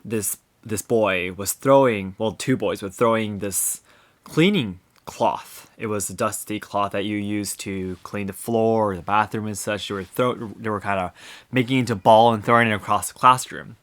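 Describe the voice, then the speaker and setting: Male podcast host, lowish voice, earnest and reflective, works through his points examples. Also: fairly even intonation, moderate pace, a bit bright. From Japan. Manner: earnest, thoughtful, explains with examples and frequent "you know".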